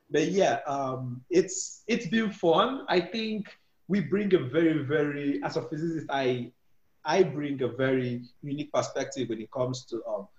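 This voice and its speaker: A man's voice: medium pitch, a little mellow. Man from Nigeria, echoey muffled line. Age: 20s. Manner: hesitant, many ums and restarts. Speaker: guest, physics PhD student and startup co-founder. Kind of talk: podcast interview.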